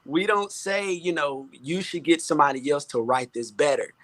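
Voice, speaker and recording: A man's voice: fairly high pitch, animated, normal speed, fairly bright tone, dry recording. A man speaking as a guest in a casual panel chat, candid and emphatic, often repeating himself as he builds a point. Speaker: music producer, panel guest.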